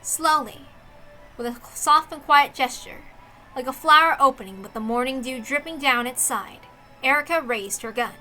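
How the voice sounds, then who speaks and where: A woman giving an expressive, deliberate dramatic narration of a visual novel. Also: high-pitched, faint music behind, low-quality recording. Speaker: reader, narrator.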